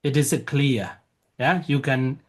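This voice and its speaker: A man whose first language is Burmese, a monk teaching a lecture, talking haltingly with many fillers and restarts. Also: medium pitch. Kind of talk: Dharma lecture with questions.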